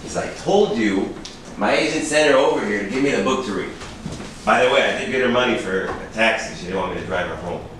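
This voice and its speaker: A man acting out an evasive, prickly male murder suspect in a stage crime drama, stammering under pressure. His voice sits a little low and is heard from a distance in a very reverberant, noisy space. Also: brisk, fairly bright tone, lightly acted. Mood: evasive, nervous.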